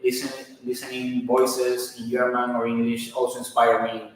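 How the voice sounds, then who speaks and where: A man whose first language is Spanish, speaking English haltingly and searching for words during a live band Q&A. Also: medium pitch, completely flat delivery, slight background noise. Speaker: band member. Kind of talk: live stream Q&A. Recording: echoey room, far from mic.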